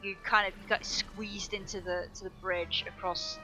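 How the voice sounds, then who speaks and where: A woman co-hosting a charity game stream, chatting in an animated way and acting out quoted lines. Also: a little slow, over music, rough recording. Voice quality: higher-pitched than she expects herself to sound. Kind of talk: casual chat while playing a game.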